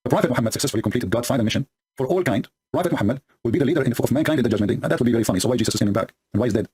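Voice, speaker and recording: A man whose first language is Arabic rattles through scripture at breakneck, double-speed pace, mocking as he goes. His voice is slightly husky, and his English is broken but forceful. The delivery is sarcastic and combative throughout. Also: mid-range voice, lively intonation, very fast. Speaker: religious polemic commentator. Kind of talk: commentary reading and mocking scripture.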